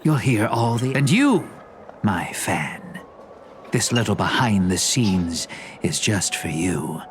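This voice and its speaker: A world-weary film director in a game. He speaks very slowly and deliberately, with wide dramatic swings and a dry, resigned grace. Music runs clearly behind the voice. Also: fairly low pitch, sing-song. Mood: theatrical, gracious. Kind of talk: dubbed anime game dialogue.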